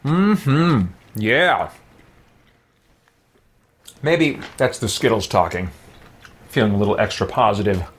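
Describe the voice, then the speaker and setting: A man's voice: on the low side, lively intonation, slow, pauses often, slightly rough. A man giving casual let's play commentary, rambling and thinking aloud as he goes. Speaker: gamer playing and commenting on a role-playing game.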